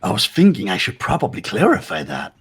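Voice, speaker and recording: Mocking robot bear villain, childish glee, theatrical, extremely animated pitch, rough-edged tone, video game fan voice acting, music behind. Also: male voice, a little fast, exaggerated voice. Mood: smug, teasing. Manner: theatrical, teasing, sing-song swoops.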